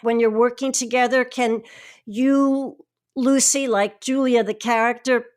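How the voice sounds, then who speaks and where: A woman's voice: a little high, fairly even intonation, a little slow. A woman hosting a conversational panel interview, putting questions to her guests. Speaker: host, interviewer.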